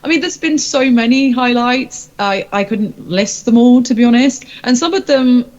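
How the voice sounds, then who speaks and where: A woman's voice: fairly high pitch, expressive, moderate pace, a little mellow. Woman chatting, slightly dull audio. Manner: informal, rambling, self-deprecating. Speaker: rock singer-songwriter being interviewed. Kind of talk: casual interview chat.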